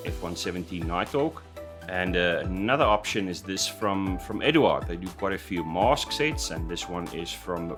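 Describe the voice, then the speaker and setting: Deep-voiced man hosting a modeling tutorial. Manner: hesitant, frequent "uh" fillers and restarts. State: slightly croaky after illness. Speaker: scale model building host. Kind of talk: tutorial voice-over. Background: background music.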